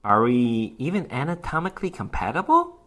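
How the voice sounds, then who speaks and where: A man's voice: medium pitch, wildly swooping pitch, moderate pace, fairly bright tone, full character voice. A man voicing an awkward male summoner in a fantasy story, nervous yet sly, with a hesitant manner. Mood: flustered. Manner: hesitant, stammering.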